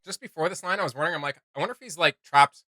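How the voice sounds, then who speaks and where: A man chatting casually as a reaction video host, joking, slangy and animated. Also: a little high, rapid-fire, over loud music.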